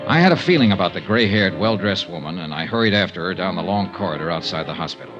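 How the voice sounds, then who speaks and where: An actor playing a cool, tough, persistent insurance investigator, dryly delivered in 1950s radio detective style. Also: male voice, low-pitched, animated, fast, over music. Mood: dry narration. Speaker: lead character and narrator. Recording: muffled 1950s radio recording.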